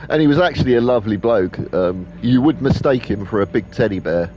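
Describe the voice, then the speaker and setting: A man's voice: lowish voice, animated, brisk, highs cut off, slight background noise. A man giving casual, sweary, jokey commentary while playing a video game. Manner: sweary, jokey, mocking, laughs often. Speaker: gaming commentator. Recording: voice over game audio with faint music.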